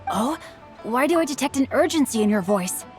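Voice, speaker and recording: A woman voicing a refined, composed young gentleman in a video game, formal, articulate and courteous. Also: high-pitched, lively intonation, a little fast, soft background music. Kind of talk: video game character acting.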